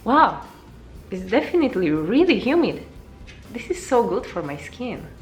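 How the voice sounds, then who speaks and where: A woman voicing a cheerful young female traveler who talks in bright, exclaiming bursts. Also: on the high side, big pitch swings, a little slow, soft background music, pitched above the performer's own voice. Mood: excited. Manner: bubbly, exclaiming.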